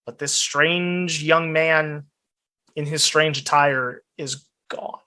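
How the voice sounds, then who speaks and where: A man narrating an improvised tabletop game as game master, in a measured storytelling manner. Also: fairly high pitch, fairly even intonation, sharp tone, smooth.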